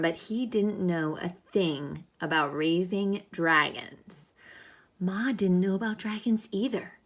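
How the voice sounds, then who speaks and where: Bright-voiced woman teacher reading aloud expressively. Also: mid-range voice. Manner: animated, dramatic emphasis with hushed drops; encouraging, checks understanding with questions. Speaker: elementary school teacher. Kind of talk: expressive picture-book read-aloud with teaching asides.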